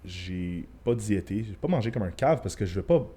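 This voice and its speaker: Low-voiced Quebec man, casual and loose. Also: animated, moderate pace, mellow, husky. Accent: Quebec French. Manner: loose, swearing, mixes English phrases. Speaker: podcast guest, former bodybuilder and coach. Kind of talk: casual podcast chat.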